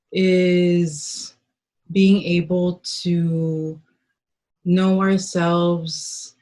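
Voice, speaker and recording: A woman from the northern Philippines, a guest in an interview, speaking slowly and thoughtfully with many pauses. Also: fairly low pitch, warm tone. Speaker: guest, herbalist and organizer. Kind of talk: reflective interview talk. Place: home, in the Bay Area.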